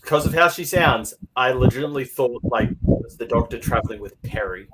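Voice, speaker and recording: Male reviewer, mid-pitched, casual conversation, very noisy clicky recording. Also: lively intonation, fairly quick. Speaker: podcast guest reviewer. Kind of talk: casual chat.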